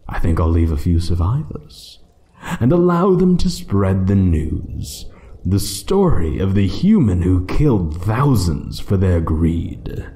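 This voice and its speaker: Ancient male dragon, acted by a man for fantasy ASMR: low, dark voice, condescending menace, toys with his prey. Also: big pitch swings, full character voice. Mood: hungry threat. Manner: slow, taunting, condescending, dropping into whispers. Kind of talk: one-sided ASMR roleplay acting.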